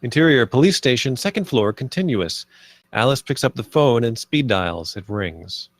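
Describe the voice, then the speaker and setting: Male narrator for screenplay directions, medium pitch, close dry sound, scripted delivery. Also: lively intonation, normal speed, dry recording. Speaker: narrator reading stage directions.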